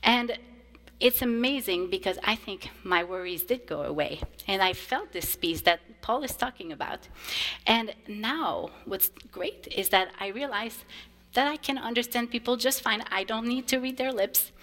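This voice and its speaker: A woman whose first language is French, a choir director, gives a gentle children's message in church. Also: medium pitch, normal speed. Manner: warm, simple storytelling to children. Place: church sanctuary. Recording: long reverb of a church sanctuary.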